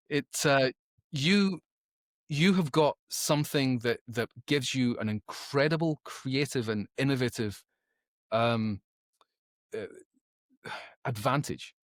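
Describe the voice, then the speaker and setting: A man's voice: medium pitch, no echo, clean studio sound. A man speaking as a podcast guest in conversation, emphatic and opinionated, often repeating words as he thinks. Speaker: podcast guest, author and creativity educator.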